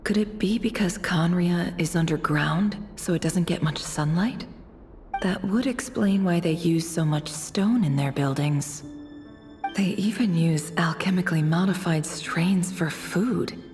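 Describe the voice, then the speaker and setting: A woman's voice: lowish voice, a little slow, darkish tone, natural voice. A woman voicing a thoughtful young game heroine, musing inquisitively to herself. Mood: pensive, curious. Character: thoughtful young woman traveller piecing clues together. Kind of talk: dramatic game voice acting, inner monologue. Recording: long reverb, music underneath. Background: music.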